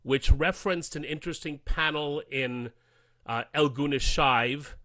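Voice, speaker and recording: A man's voice: a little high, measured pace, bright, forceful tone, no echo. A man recounting things casually as a guest in an interview. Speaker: podcast guest, voice actor.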